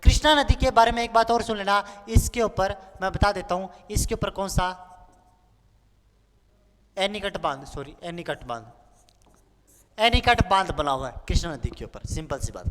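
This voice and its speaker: A man's voice: high-pitched, expressive, moderate pace, pauses often. A man of twenty-one teaching an exam-prep lesson in a playful, colloquial way, repeating key points as a drill. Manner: repetitive, playful, colloquial, acts out pupils' questions. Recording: noisy, echoing hall-like room with clicks.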